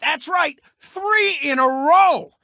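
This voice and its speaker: A man's voice: very high-pitched, slow pace, sharp tone, no room sound, clean studio sound, full character voice. A man voicing a brash, gloating male race car who hosts a racing show as a cocky, mocking sportscaster in a video game. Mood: showy commentary. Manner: cocky, smug, showboating, mocking. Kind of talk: animated video game character commentary and taunts.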